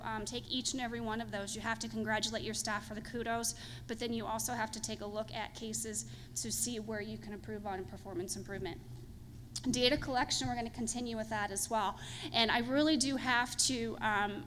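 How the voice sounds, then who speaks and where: A woman's voice: a little high, fairly bright tone. Earnest woman speaking in a hall. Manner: earnest, filler-heavy presenting. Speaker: hospital panelist. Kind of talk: conference panel remarks. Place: conference hall. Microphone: handheld microphone. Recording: echoey hall, faint noise.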